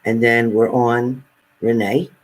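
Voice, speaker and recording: A man's voice: mid-range voice, steady tone, slow pace, slight background noise. A man hosting a casual live question-and-answer stream, giving spirit readings and chatting with viewers.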